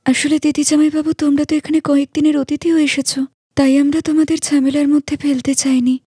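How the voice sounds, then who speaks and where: An actress plays a humble young village wife and younger sister in a cartoon moral tale. Her voice is high and dry, close and clean. She speaks politely and earnestly, keen to accommodate. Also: level tone, brisk, warm tone, dry recording, close to an everyday voice. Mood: apologetic explaining. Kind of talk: cartoon moral story acting.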